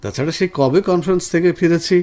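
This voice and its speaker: A man's voice: fairly high pitch, fast, warm tone, natural voice. A man acting a caring, reassuring husband with a professional job in a Bengali radio drama. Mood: earnest.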